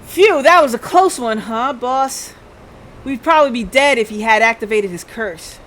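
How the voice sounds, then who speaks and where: A game streamer voicing an excitable, eager junior police sidekick with an urgent, exclaiming manner. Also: mid-range voice, normal speed, slight background noise, natural voice.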